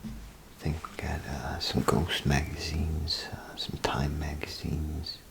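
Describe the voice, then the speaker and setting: Man, very deep voice, soft half-whisper, crackly fry, casual and unhurried, ASMR role play. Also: flat delivery. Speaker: ASMR performer playing a bathroom attendant.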